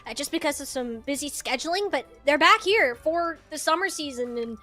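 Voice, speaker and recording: A woman commentates live on a competitive video game match as a play-by-play caster. Her voice sits extremely high, and music runs clearly behind her. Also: expressive, normal speed, sharp tone. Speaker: esports commentator.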